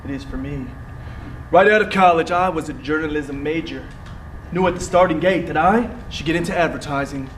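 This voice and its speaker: A man's voice: on the high side, a little fast, close to an everyday voice. An actor playing a cocky, ambitious young advertising man in a stage play, smug and self-assured. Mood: smug, brisk. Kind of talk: live stage play acting. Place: theatre stage, recorded from far off. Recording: distant roomy theatre recording, faint noise.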